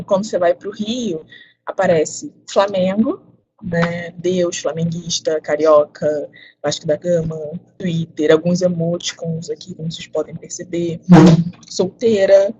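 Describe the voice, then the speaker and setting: A woman with a somewhat low voice walks viewers through a software demo on a video call. She reads out lists in a casual, explanatory way over dull, lo-fi audio. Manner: explanatory, list-reading, casual fillers. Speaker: presenter demonstrating a platform. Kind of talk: screen-share demo.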